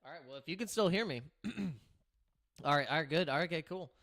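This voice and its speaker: Raspy-voiced man rambling on a livestream. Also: fairly high pitch, fast talker, fairly bright tone. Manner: rambling, with many restarts and filler words. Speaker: crypto livestream host. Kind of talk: casual livestream chat. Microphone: streaming microphone through streaming software.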